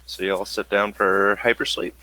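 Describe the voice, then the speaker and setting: A man running a tabletop role-playing game as game master, improvising narration in a halting, thinking-aloud way. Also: lowish voice, flat delivery, bright, forceful tone, poor audio.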